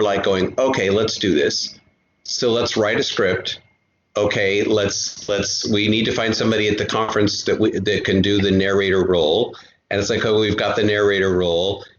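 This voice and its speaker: Lower-voiced man in an echoey room. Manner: talkative, repeats and rephrases himself. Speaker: podcast guest, audio drama creator. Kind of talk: casual conversational interview. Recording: roomy, dull lo-fi, faint noise.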